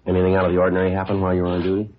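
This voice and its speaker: Very deep, deadpan actor as a police detective. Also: male voice, rapid-fire, slight background noise, natural voice. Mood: flat, businesslike. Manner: deadpan, clipped, matter-of-fact. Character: terse, businesslike male police detective. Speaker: actor in a radio police drama. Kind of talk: 1950s radio acting, clipped narration and dialogue. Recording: dull, lo-fi old radio recording.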